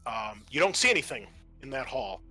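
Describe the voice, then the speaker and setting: A man's voice: mid-range voice, sing-song, fast, bright, forceful tone, slightly rough, faint music behind. A man running a tabletop role-playing game as game master, talking casually and swearing freely.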